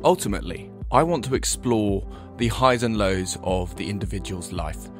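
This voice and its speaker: A man hosting an interview podcast, giving earnest, measured introductions. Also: fairly low pitch, animated, soft background music. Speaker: podcast host. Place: London.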